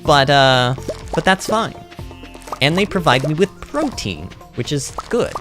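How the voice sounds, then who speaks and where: Animated, expressive livestreamer chatting over music. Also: medium pitch, a little slow. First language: English. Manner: rambling, animated, emphatic. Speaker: livestreamer playing a farming game. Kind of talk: casual chatty livestream commentary, reading and answering chat.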